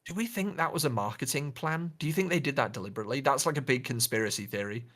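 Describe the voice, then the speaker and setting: A man's voice: mid-range voice, fast, fairly bright tone. Man chatting casually about pop culture. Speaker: podcast co-host. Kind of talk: casual chat about films and games.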